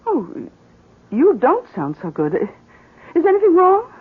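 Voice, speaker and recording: A woman acting an anxious, devoted, gentle wife in a 1970s radio drama. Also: very high voice, huge pitch swings, slow pace, exaggerated voice. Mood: worried. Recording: muffled, hissy 1970s radio broadcast.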